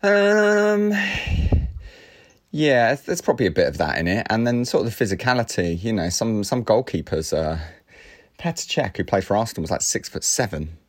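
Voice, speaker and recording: A man co-hosting a casual podcast, talking in a measured way and reasoning things through with a dry touch. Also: on the low side, big pitch swings. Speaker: podcast co-host. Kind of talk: casual chat.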